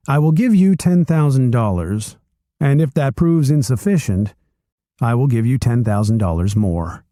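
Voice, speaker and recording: A man reading a nonfiction book aloud chapter by chapter, with expressive storytelling delivery. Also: medium pitch, no room sound, studio quality. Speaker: reader.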